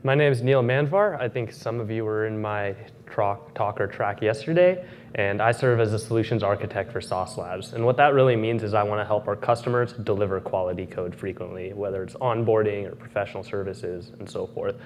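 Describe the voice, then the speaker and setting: Young man, low voice, informal conference speaker, faint background hum. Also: fairly quick. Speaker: conference speaker, solutions architect. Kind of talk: informal self-introduction on stage.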